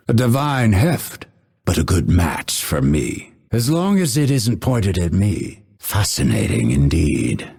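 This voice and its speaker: An actor voicing a grim, vengeful male assassin in a video game, husky and gravelly, with measured, solemn, dramatic delivery. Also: sits low, darkish tone, exaggerated voice. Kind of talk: scripted game character voice lines.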